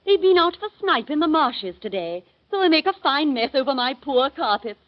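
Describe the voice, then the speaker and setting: An actress playing a chatty, refined middle-aged aunt, in 1930s radio acting style. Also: female voice, high voice, big pitch swings, moderate pace. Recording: muffled old radio recording.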